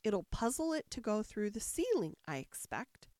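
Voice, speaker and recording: A woman's voice: medium pitch, sing-song, moderate pace, darkish tone, no echo, studio quality, lightly acted. A woman voicing a polite, curious, dreamy little girl in a storybook, earnest and wistful. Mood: plaintive, determined. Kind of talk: storybook character voice.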